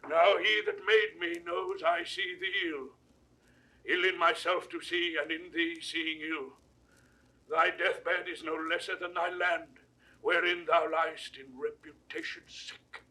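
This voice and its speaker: Dying old duke, high forceful voice, bitter declamatory classical verse. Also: male voice, steady tone, takes it slowly, poor audio. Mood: grave reproach. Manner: declamatory, impassioned. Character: dying old nobleman, bitter, defiant, rebuking his royal nephew. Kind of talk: classical Shakespearean stage acting, 1960s audio drama.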